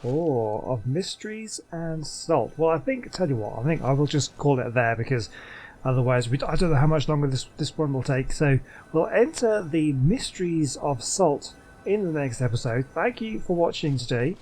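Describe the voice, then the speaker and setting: A man giving casual, low-key gameplay commentary, musing aloud as a video host. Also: animated, normal speed, a little mellow.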